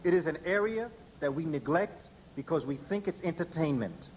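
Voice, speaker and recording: A man giving a public lecture in a measured, emphatic way, often spelling out letters slowly for emphasis. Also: on the high side, lively intonation.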